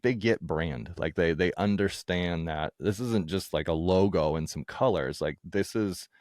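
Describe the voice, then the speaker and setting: A man speaking casually as an interview guest, candid and informal, thinking out loud as he goes. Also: low-pitched, no room sound, clean studio sound. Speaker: guest, founder of a podcast production company.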